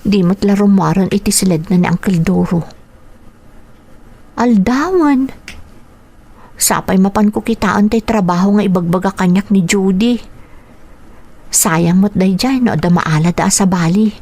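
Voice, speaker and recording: A woman playing a patient, caring elder sister in an Ilocano radio drama, speaking gently and earnestly. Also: mid-range voice, measured pace, pauses often. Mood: earnest, hopeful. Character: patient, caring elder sister minding her younger brother. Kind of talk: Ilocano radio drama acting with narrated inner thoughts.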